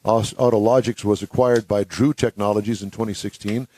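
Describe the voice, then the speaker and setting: A man's voice: lowish voice, steady tone, brisk, mellow. A man hosting a radio talk show from a studio, folksy and joking, sometimes tripping over long introductions.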